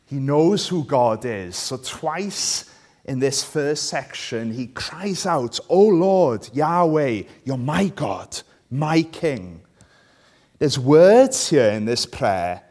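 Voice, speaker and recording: A man's voice: mid-range voice, a little mellow. A Welsh man, a native Welsh speaker, preaching and reading scripture, checking in with listeners through questions. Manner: conversational, asks listeners rhetorical tag questions.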